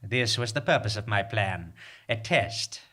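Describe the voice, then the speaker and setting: An actor playing a menacing bandit leader in a live comic western radio drama, speaking in a grandiloquent, deliberate, theatrical way. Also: male voice, fairly low pitch, expressive, sharp tone, full character voice. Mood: smug, calculating.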